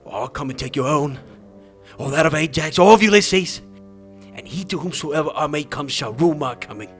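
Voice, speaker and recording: A man's voice: on the high side, lively intonation, normal speed, fairly bright tone, voice pushed up. A man playing an enraged, arrogant king in a dramatized epic audiobook, declaiming with threats and haughty command, over music. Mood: threatening, imperious.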